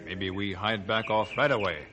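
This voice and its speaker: A man's voice: lowish voice, normal speed, natural voice. A man playing a stoic, loyal tracker in a 1950s radio adventure, speaking in clipped, broken sentences without small words. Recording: noisy old 1950s radio recording.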